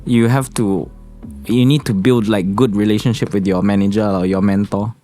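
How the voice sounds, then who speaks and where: A young man with a Singaporean English accent, speaking calmly and evenly as a financial consultant guest on a podcast. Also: normal speed, faint music behind. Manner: calm, low-key, says he speaks monotonously. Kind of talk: casual podcast chat.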